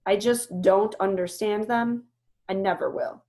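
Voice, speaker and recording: A woman's voice: mid-range voice, steady tone, unhurried, mellow, close to an everyday voice. Woman putting on a blunt, doubting male animal. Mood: doubtful. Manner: blunt, incredulous.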